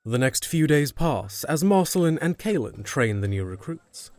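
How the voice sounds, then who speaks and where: A man's voice: fairly high pitch, sing-song, moderate pace, darkish tone, no room sound, clean studio sound. A man reading scripted narration for a fantasy audio drama.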